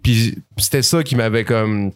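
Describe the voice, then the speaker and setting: A man's voice: fairly low pitch, unhurried. Slangy man in his thirties. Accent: Quebec French. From France. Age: 30s. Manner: rambling, slangy, full of fillers. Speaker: guest rapper. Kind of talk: casual podcast chat.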